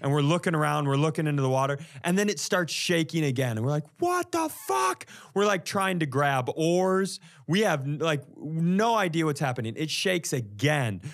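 A man's voice: fairly high pitch, very animated, normal speed, hardly any pauses. An American man, a comedian and podcast co-host, telling stories casually and profanely, acting out reactions as he goes.

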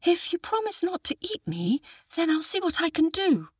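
An earnest little storybook boy, voiced by a woman, pitched very high and light. He pleads and protests with frightened indignation, his voice jumping expressively up and down. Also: sing-song, moderate pace, no room sound, studio quality. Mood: wary.

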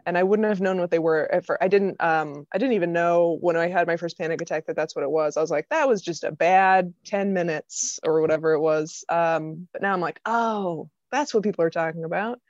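Slightly low American woman, lo-fi sound. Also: fairly even intonation, brisk, few pauses, a little mellow, no room sound. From Vermont, United States. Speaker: podcast guest, stand-up comedian. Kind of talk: casual chat. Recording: slightly dull, lo-fi microphone sound.

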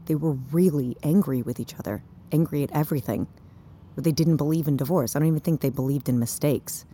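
A woman's voice: sits low, fast, dark tone, slight background noise, natural voice. An actress playing a blunt, wry but caring woman in an audio drama, talking in a naturalistic, teasing way. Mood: gentle, frank.

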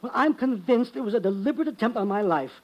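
A timid importer stumbles through his story in a high, thin voice, stammering and halting as he goes. in an old-time radio drama, hesitant and jittery. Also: lively intonation, darkish tone. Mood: nervous. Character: nervous timid male importer, middle-aged. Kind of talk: 1940s radio acting.

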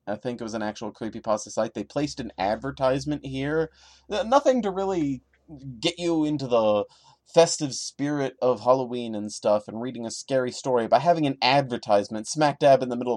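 A man's voice: medium pitch, expressive, normal speed, a little mellow, no echo. A man hosting a podcast, reading a horror story dramatically with sarcastic, mocking asides. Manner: theatrical, mocking. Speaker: podcast host reading and commenting.

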